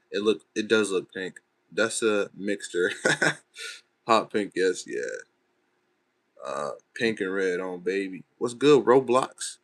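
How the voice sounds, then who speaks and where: A young man from Texas, chatting casually on a late-night livestream and answering viewers' comments in loose, slangy talk. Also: sits low. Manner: laid-back, chatty, loose slangy phrasing. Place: bedroom at home.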